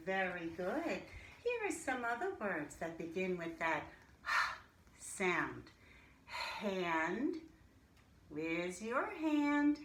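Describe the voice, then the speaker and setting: A woman teacher leading an interactive alphabet lesson for young children, speaking deliberately in a sing-song way and addressing pupils directly with pauses. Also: medium pitch. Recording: echoey room with light background noise.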